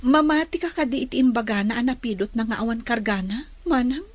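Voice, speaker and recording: A woman plays a caring young daughter in an Ilocano radio drama. Her voice is very high, with an Ilocano accent and a dutiful, helpful manner. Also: a little fast, no room sound, natural voice. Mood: questioning. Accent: Filipino, Ilocano.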